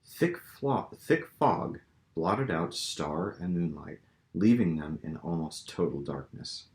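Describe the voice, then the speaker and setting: A man with a low voice narrates fiction as its author. His delivery is dramatic storytelling, and the room adds clear echo around him. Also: animated, slow pace, darkish tone. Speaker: author reading own novel. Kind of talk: dramatic audiobook-style narration. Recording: roomy, echoing room sound.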